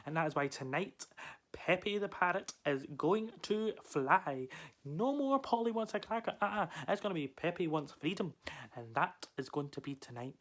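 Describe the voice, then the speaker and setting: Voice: mid-range voice, a little fast, few pauses, fairly bright tone, close to an everyday voice. An actor playing a weary but defiant caged male parrot in a solo theatrical monologue, speaking in an emphatic, conversational way. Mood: defiant, proud.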